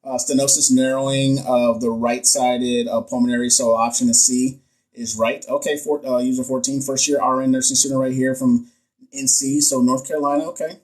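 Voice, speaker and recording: A man's voice: mid-range voice, flat delivery, normal speed, rough recording. A man from Texas, a nurse educator hosting a casual live stream, joking in slang while he reads viewer comments and reviews quiz answers.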